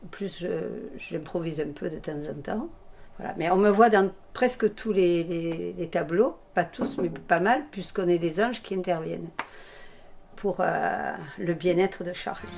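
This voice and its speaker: An actress chatting casually in an interview, telling lively anecdotes with quick asides. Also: female voice, lowish voice, vocal fry, slight background noise.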